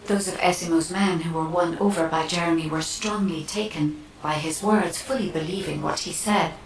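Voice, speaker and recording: An actress playing a calm, analytical science officer in a video game, speaking in a measured, precise and composed way. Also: female voice, fairly low pitch, close to an everyday voice. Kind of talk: dramatic video game cutscene acting. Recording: echoey, distant, noisy game audio.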